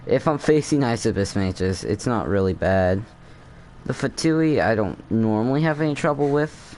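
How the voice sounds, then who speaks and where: A man giving casual gameplay commentary, halting and rambling as he thinks aloud. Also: mid-range voice, expressive, darkish tone, slight background noise. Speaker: gaming streamer.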